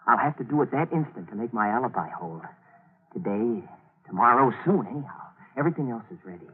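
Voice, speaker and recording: A man's voice: mid-range voice, animated, a little fast, husky. An actor playing a scheming, persuasive young chemist's assistant, brooding through his story in 1940s radio drama style. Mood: urgent, persuasive. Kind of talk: 1940s radio acting with inner narration. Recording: muffled, noisy 1940s radio recording.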